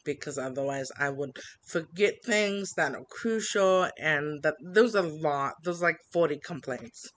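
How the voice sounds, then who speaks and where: A woman vlogging an upset complaint, halting with many fillers and restarts, reading from written notes. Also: low-pitched. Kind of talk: personal complaint vlog.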